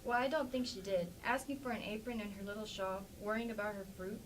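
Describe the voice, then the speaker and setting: A woman's voice: mid-range voice, steady tone, fairly quick, close to an everyday voice. A woman playing a plainspoken, defensive farmer's wife in an amateur staged play reading. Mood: wistful, sympathetic. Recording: distant mic with faint background noise.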